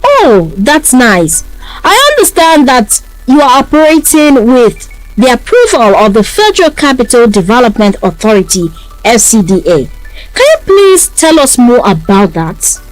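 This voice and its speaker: A woman's voice: a little high, sing-song, a little slow, rough recording. A woman with a Nigerian accent acting as interviewer in a scripted, polished and enthusiastic radio promotion. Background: music.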